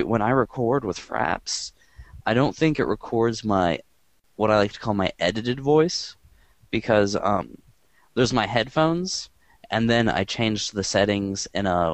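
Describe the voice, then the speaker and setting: A man hosting a podcast in casual chat, with a quiet, monotone voice and a rambling, dry way of talking. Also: on the low side, no room sound. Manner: rambling and dry, filler-heavy. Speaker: podcast host.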